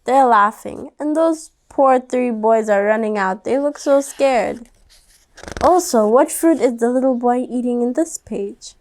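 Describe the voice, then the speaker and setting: Lady reading a kids book out loud, high voice, takes it slow, chats to the kids as she goes. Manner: conversational, addresses child listeners directly. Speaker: storybook reader. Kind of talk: children's read-aloud with asides to young listeners.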